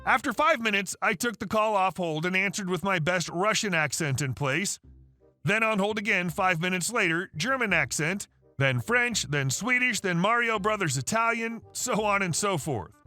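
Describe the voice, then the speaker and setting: High, bright male storyteller. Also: moderate pace. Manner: steady read-aloud delivery. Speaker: narrator of online stories. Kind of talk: scripted story narration. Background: faint background music.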